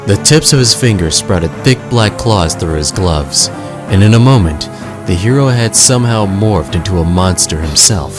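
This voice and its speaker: A man's voice: low voice, lively intonation, moderate pace, over music. A man narrating a scripted audio drama in a dramatic storytelling manner.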